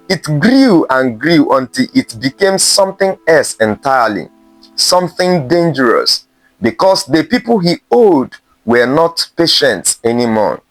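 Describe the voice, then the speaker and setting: A man narrating a scripted folktale in a measured way, with dramatic pauses between short phrases. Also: on the high side, no echo, rough recording. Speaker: storyteller.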